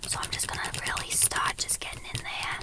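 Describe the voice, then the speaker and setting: A woman whispering close to the mic as an aunt combing out lice, chatty and reassuring, with gossipy asides. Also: brisk. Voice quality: whispered throughout. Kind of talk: whispered ASMR roleplay.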